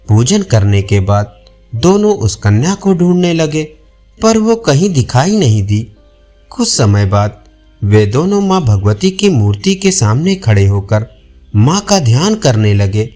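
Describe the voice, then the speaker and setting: A man's voice: mid-range voice, sing-song, measured pace, a little mellow, faint music behind. A man narrating a scripted audio folk tale as a storyteller.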